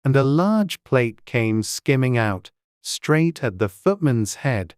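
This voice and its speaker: A man's voice: mid-range voice, very animated, takes it slowly, smooth, dry recording. Dry, close-recorded male storybook narrator. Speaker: audiobook narrator.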